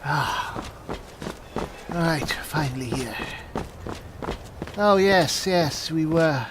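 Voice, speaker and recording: A man roleplaying an earnest, worried cleric adventurer in a fantasy game, musing aloud in a halting manner. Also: a little high, expressive, very noisy. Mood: resolved. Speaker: gamer playing a roleplay. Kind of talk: in-character improvised roleplay narration. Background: music.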